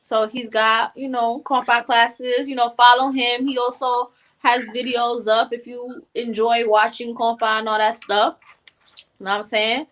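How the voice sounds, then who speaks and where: Young woman, high-pitched, bright forceful tone, playful, slangy, casual podcast host. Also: flat delivery, measured pace. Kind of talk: casual chat.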